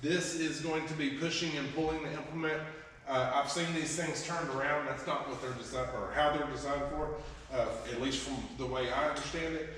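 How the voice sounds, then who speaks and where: A man's voice: on the high side, steady tone, fairly quick, fairly bright tone, a little hiss or hum. Man vlogging outdoors, distant echo. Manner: rambling, many filler words. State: getting over a head cold. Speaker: farm vlogger. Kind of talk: casual how-to vlog. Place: outdoors on a farm. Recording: distant, echoey outdoor camera audio.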